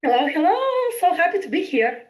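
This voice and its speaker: A fairly high-voiced woman in her thirties speaks with a Bulgarian accent. She chats easily as an interview guest, bubbly and quick to laugh at herself. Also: animated, darkish tone. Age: 30s. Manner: bubbly, laughs often, self-deprecating. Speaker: podcast guest. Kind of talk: casual interview chat.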